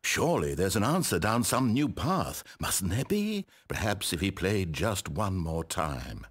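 A man's voice: sits low, big pitch swings, measured pace, noisy background. A man with a British accent playing a wry, condescending, controlling narrator in a game, eloquent and theatrical. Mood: wistful storytelling. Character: wry, exasperated, controlling male storyteller narrator. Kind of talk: theatrical scripted storybook narration.

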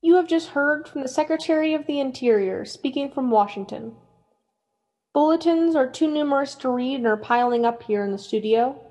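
A high female voice works as a radio drama's studio announcer, played by an actress. She is formal and businesslike, handing over broadcasts and relaying bulletins. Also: normal speed, a little mellow, lightly acted. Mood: businesslike urgency.

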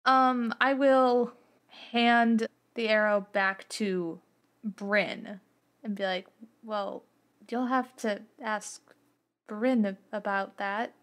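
A woman's voice: a little high, steady tone, takes it slowly, fairly bright tone. A woman improvising casually as a player in a tabletop role-playing game, slipping between description and dialogue.

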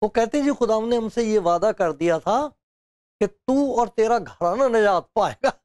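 A man's voice: sits high, animated, measured pace, a little mellow, no echo, clean studio sound. An older man preaching a sermon to camera with a lapel mic, telling stories and acting out quotes with chuckles. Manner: storytelling, acting out quotes with laughs and gestures. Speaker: pastor. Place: studio with bookshelves, indoors.

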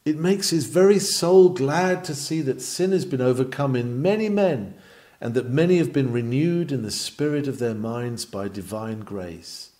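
A man reads a historical sermon with earnest, oratorical emphasis. His voice is mellow and mid-pitched, and it rises and falls in a very lively way as he works through the formal text. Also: measured pace. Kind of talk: sermon read aloud from a script.

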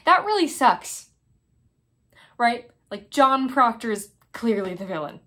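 A young woman playing an outspoken, indignant teenage girl in a conversational stage monologue, halting and wry. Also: on the high side, sing-song, fairly bright tone, heavily acted. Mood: indignant, insistent. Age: young adult. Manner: halting, conversational, with many likes and restarts. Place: small plain room indoors.

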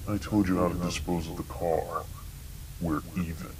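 Man voicing a very deep, sinister static entity. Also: natural voice. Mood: coldly persuasive. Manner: slow, mocking, calmly menacing. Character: sinister, taunting male entity living in TV static. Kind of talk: analog horror scripted dialogue.

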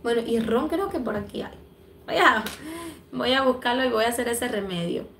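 A woman's voice: a little high, expressive, moderate pace, a bit bright, echoey room, slight background noise. A young woman from Cuba and the Dominican Republic, chatting affectionately on a livestream as she reads viewer comments aloud, a little stuffy from allergies. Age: young adult. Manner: affectionate, chatty, laughs often. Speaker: livestream host. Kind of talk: live chat reading viewer comments. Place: small room indoors. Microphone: phone camera at arm's length.

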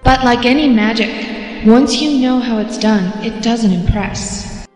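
An actress playing a proud, guarded captive princess in a space drama, defiant and self-possessed. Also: female voice, fairly high pitch, a little slow, darkish tone, close to an everyday voice. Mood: inward, resolute. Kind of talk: drama dialogue and inner monologue. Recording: long echo, faint music.

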